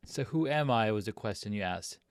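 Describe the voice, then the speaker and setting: A man's voice: on the low side, expressive, fairly quick, clear, resonant tone, no room sound. A middle-aged man who grew up in Pakistan, Saudi Arabia and the US, chatting upbeat as a guest on a video podcast, with a few restarts. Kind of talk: casual storytelling on a video podcast.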